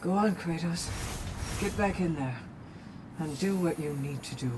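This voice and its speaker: Slow-paced brave warrior woman. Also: low voice, noisy background. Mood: weary, tender. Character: Sigrun, brave warrior woman, teasing yet tender. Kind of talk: dramatic video game acting.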